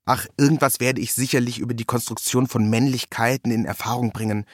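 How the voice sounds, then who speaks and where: A man's voice: medium pitch, fairly even intonation, fairly quick, no echo. Male narrator, literary first-person reportage, wry and ironic, very dry sound. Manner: wry, ironic delivery with acted quotes.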